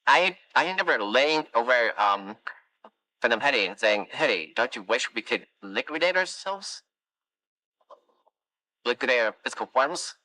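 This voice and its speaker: A man's voice: medium pitch, animated, moderate pace, long pauses, pushed and bright, dry recording, low-quality recording. A man voicing a chatty animatronic who tells long, wandering stories in a game. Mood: rambling. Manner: long-winded, wandering anecdotes, dry musings. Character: chatty rambling animatronic, wistful storyteller. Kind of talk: fan game voice lines.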